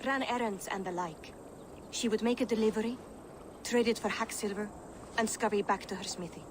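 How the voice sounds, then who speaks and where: An anxious mother from a Viking game voiced by a woman. Her delivery is fretful and earthy, full of feeling, at a middling pitch. Noticeable ambient noise sits behind the voice. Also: a little fast, close to an everyday voice. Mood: worried, fretful. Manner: fretful, emotive. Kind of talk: video game cutscene acting.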